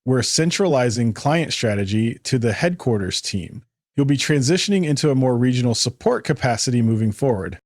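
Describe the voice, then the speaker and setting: A man voicing a smooth, rehearsed, falsely cheerful male corporate manager in a narrated story. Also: mid-range voice, animated, brisk, no room sound, studio quality. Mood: detached. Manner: smooth, practised corporate delivery.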